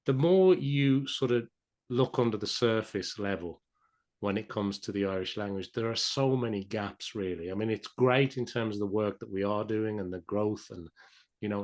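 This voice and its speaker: A male podcast host with a somewhat low voice, chatty and encouraging toward his guest. He often repeats a word while he gets a thought going. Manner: chatty and encouraging, with stammered restarts. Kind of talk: podcast interview.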